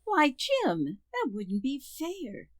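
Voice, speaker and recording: A woman playing a patient, gently wry wife who soothes and placates in an amateur radio sitcom. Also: high voice, wildly swooping pitch, no room sound, studio quality, heavily acted. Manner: soothing, measured.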